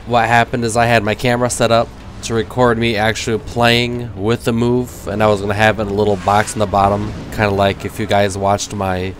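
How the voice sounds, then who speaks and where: A man's voice: lowish voice, flat delivery, brisk, hardly any pauses, a little hiss or hum. A man in his thirties with a cold that leaves him nasal, giving rambling, self-deprecating casual gameplay commentary. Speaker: gaming commentator.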